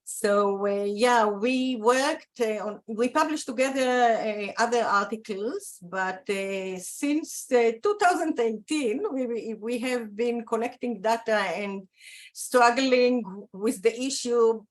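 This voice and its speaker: Israeli woman, PR professor, slow, hesitant, video call. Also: a little high. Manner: slow and hesitant, with many fillers. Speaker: public relations professor. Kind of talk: self-introduction over video call.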